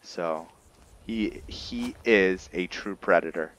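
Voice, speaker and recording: Very low-voiced man doing casual game commentary. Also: slow, a bit bright. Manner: explains by asking and answering own questions. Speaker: gamer narrating a roleplay playthrough.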